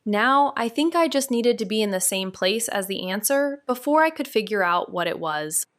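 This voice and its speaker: A woman's voice: mid-range voice, lively intonation, moderate pace, no room sound, natural voice. Casting: actress for woman amateur sleuth, playful yet determined, natural mid-range voice, dry studio sound, podcast noir drama. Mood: reflective. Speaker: actor in a neo-noir mystery podcast. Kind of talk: naturalistic audio drama dialogue.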